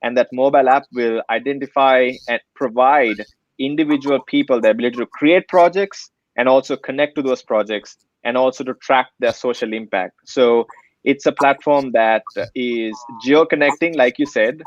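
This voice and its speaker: A man's voice: mid-range voice, moderate pace, no room sound, highs cut off. A young man from Sri Lanka, a nonprofit director, earnestly explaining his project in an interview with many fillers. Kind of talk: interview answers.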